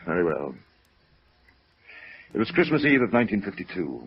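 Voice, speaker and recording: An actor playing a genteel, evasive company president in a 1950s radio drama, formal and hesitant, on a vintage recording. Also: male voice, sits low, very animated, moderate pace, creaky, husky, lo-fi sound, exaggerated voice. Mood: wistful, hesitant. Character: genteel, evasive, wistful male brokerage president.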